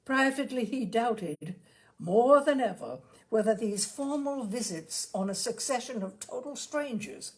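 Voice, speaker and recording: A woman host reading short stories aloud with deliberate, expressive phrasing. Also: medium pitch, creaky. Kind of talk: storytelling, read-aloud narration.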